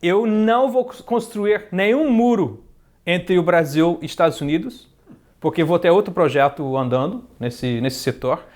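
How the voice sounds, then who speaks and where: Brief: a man as a boastful American impostor, cocky, a touch high-pitched, crude comedy sketch. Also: expressive, moderate pace, a little mellow. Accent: American English. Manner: smug, brags, crude innuendo. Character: boastful fake Trump, later a scheming rival gringo.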